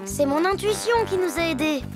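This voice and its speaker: High-pitched earnest boy hippo, voiced by a woman. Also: brisk. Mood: earnest, hopeful. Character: helpful, earnest young boy hippo, handy and optimistic. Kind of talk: French cartoon dub acting. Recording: loud music behind the voice. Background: music.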